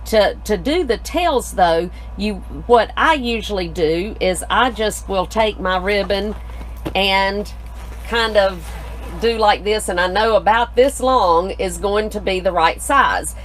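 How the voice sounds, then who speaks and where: A woman's voice: mid-range voice, takes it slowly, rough recording. A middle-aged woman co-hosting a live craft tutorial in an indoor studio, affirming her partner and explaining steps plainly. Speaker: co-host, craft designer.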